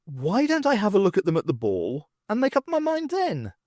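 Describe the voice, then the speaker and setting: A man's voice: high voice, wildly swooping pitch, normal speed, darkish tone, no echo, pitched above the performer's own voice. A man voicing a witty, sardonic adult woman with a dry, wary manner, raising his voice well above his own for her in a fan audiobook. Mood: evasive.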